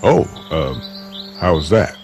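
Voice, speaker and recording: A guarded survivor in a video game, very low and gravelly. He is calm and measured, sometimes hesitant, with lively movement in his pitch. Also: soft background music, full character voice. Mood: gentle, reserved. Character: calm, guarded adult man, former university teacher, survivor. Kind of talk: naturalistic video game acting.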